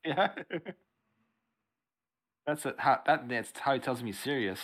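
A man's voice: mid-range voice, a little slow, fairly bright tone. A man co-hosting a casual podcast, recapping a comic book with dry jokes. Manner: laid-back, recaps plot with dry jokes. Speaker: podcast co-host.

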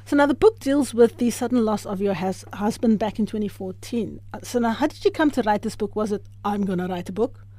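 A woman hosting a radio interview, asking measured, friendly questions. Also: mid-range voice, dark tone. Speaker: radio host.

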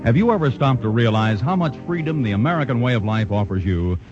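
A man reading commercials in the smooth, persuasive style of a 1940s radio announcer. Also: medium pitch, animated, fast, over music. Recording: muffled old radio broadcast.

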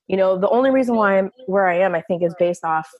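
A woman's voice: medium pitch, fast talker, lo-fi sound. A woman working as an enologist with a big voice, chatting casually with many fillers in an interview.

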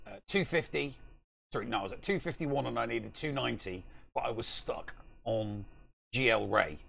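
A man's voice: medium pitch, vocal fry, a bit bright. A man co-hosting a casual gaming podcast, talking in a rambling, emphatic way and repeating himself for stress. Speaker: podcast co-host.

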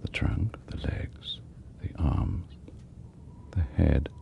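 An actor playing a sinister, wry British storyteller, narrating radio horror with deliberate dramatic pauses and dry relish. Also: male voice, extremely low pitch, animated, creaky, in a whisper, dull audio, exaggerated voice. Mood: macabre.

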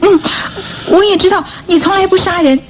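A woman's voice: extremely high pitch, normal speed, slight background noise, poor audio. A woman acting in a radio drama as a timid, repentant young orphan thief, speaking earnestly and hesitantly, as if pleading. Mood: earnest, hopeful.

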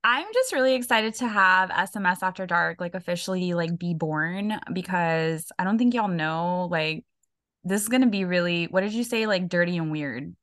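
A woman's voice: fairly low pitch, normal speed, fairly bright tone, no echo, clean studio sound. A young woman co-hosting a casual podcast chat, talking loosely with lots of fillers and laughs. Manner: chatty, lots of likes and laughs. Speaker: podcast co-host.

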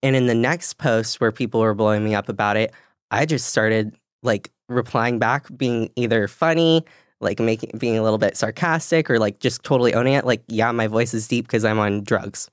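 A young woman with a low voice and a slight lisp, co-hosting a podcast in a candid, self-deprecating way and laughing often. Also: moderate pace, dry recording, clean studio sound. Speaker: podcast co-host. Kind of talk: casual podcast chat.

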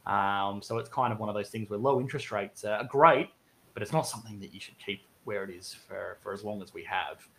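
A man's voice: on the low side, fairly quick, a bit bright, a little hiss or hum. A man in a casual economics discussion, conversational and given to voicing imagined speakers. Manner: conversational, acts out hypothetical speakers.

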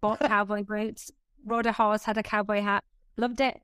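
A woman's voice: mid-range voice, level tone, normal speed, fairly bright tone, clear, resonant tone, dry recording, clean studio sound. A woman in her fifties with a Northern English accent tinged with North American, chatting casually and telling stories in a blunt, jokey, sweary way as a podcast guest. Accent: Northern English with some North American influence. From northeast of England. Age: 50s. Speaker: podcast guest, fitness expert and author. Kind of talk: casual chat, telling anecdotes.

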